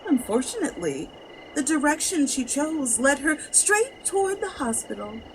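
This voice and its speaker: Female narrator for scripted horror drama, highly expressive, higher register, over noisy background. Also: moderate pace. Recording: clear background noise.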